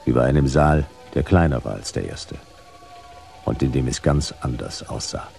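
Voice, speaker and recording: An actor playing a laconic, sardonic private detective who narrates a German sci-fi radio play in clipped phrases with a gravelly voice. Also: male voice, extremely low pitch, lively intonation, normal speed, a little mellow, faint music behind, full character voice. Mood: wry, observant. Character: hard-boiled sci-fi private detective narrating, dry, wry. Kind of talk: noir first-person radio play narration.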